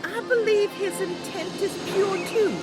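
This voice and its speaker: A woman voicing a small young female wood elf adventurer in a game roleplay, halting, polite and dryly teasing, with an exasperated streak. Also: very high voice, steady tone. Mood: dryly diplomatic. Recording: loud music behind the voice.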